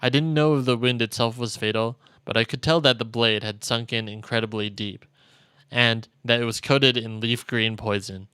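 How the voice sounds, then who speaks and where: A man's voice: mid-range voice, steady tone, moderate pace, bright, forceful tone, smooth, no echo. A man streaming a game, expressively reading visual novel narration aloud. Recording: dry close mic, faint game music.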